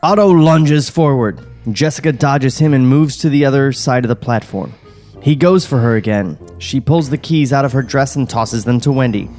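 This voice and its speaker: Male podcast narrator, middle pitch, reading action lines with casual banter over faint music. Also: normal speed, a little mellow. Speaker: podcast host reading stage directions. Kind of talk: comedic table read, narration with casual banter. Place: podcast studio.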